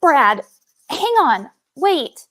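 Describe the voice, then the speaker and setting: A woman's voice: high-pitched, big pitch swings, drawn-out words, pushed and bright, exaggerated voice, low-quality recording. A woman acting a frank, casual young female camp counselor in a horror script table read. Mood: flustered.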